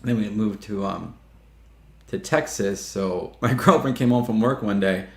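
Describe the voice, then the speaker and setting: A man's voice: fairly low pitch, measured pace, vocal fry. Chatty fifty-year-old man in roomy echo. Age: 50s. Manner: chatty, laughing storyteller who acts out quotes. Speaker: guest filmmaker. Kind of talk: casual interview chat.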